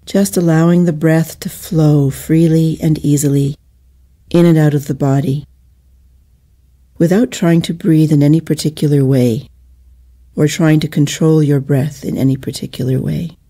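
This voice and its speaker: Female meditation guide, deeply mellow and relaxed timbre, soothing and measured, leaving gentle pauses.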